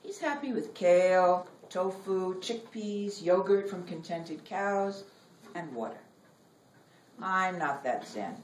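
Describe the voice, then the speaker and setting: A middle-aged woman giving a live poetry reading in measured, deliberate phrases with dramatic pauses. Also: on the low side, lively intonation. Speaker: poet reading own work. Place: library reading room. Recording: roomy, noisy recording, distant from mic.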